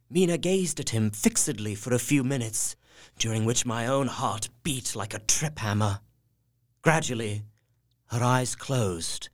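A man's voice: mid-range voice, lightly acted. An actor playing a devoted, anxious young husband narrating his journal in an audio drama, earnest and heartfelt.

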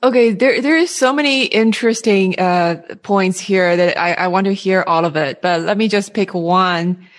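A woman interviewing a guest in a casual way, halting and rephrasing her questions as she goes. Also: mid-range voice.

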